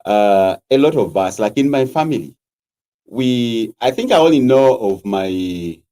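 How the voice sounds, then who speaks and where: A Zambian man with a Zambian accent, a political candidate answering interview questions in a measured, earnest way with frequent hesitations. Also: mid-range voice, expressive, dark tone.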